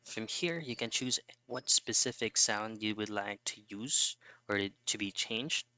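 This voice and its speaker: A man's voice: lowish voice, a little slow, bright, forceful tone, no echo. A man presenting an instructional how-to voice-over, explaining things in a wordy, repetitive way. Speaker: tutorial presenter.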